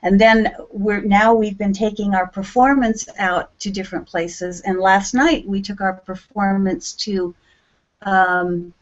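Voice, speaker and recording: A woman's voice: medium pitch, fairly even intonation, unhurried. A woman talking as an interview guest in an earnest, rambling way, with restarts along the way. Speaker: guest singer-songwriter. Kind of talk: casual interview chat. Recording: dull lo-fi sound.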